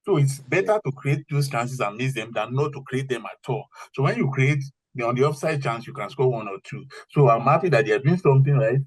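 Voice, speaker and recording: A man's voice: medium pitch, lively intonation, brisk, slightly rough, low-quality recording. A man giving opinionated football analysis in an emphatic, explanatory manner. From Africa. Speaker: football pundit.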